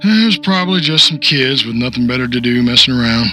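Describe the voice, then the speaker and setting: An easygoing, businesslike policeman in an audio drama. His mid-pitched voice is bright and he speaks in a matter-of-fact, obliging way. Music runs underneath. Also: animated, brisk, low-quality recording. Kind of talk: naturalistic audio drama acting.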